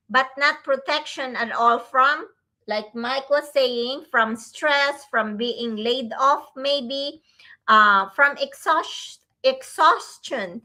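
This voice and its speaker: Older woman from the Philippines, co-host; high-ish voice, slow earnest delivery. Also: bright, forceful tone. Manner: slow, earnest, with frequent fillers. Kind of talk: casual online talk show.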